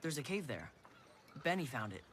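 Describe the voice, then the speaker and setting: A male actor playing an earnest, sensible older boy in an animated film, plain-spoken and inquisitive. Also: mid-range voice, normal speed, background noise.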